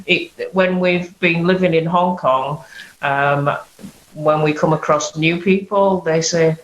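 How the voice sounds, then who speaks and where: A woman from Bradford with a Yorkshire accent, a guest investigator speaking in a wry, measured way in a casual interview. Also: low voice, fairly even intonation, dull audio. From Bradford, England. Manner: wry, self-deprecating, measured. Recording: echoey room with faint hiss.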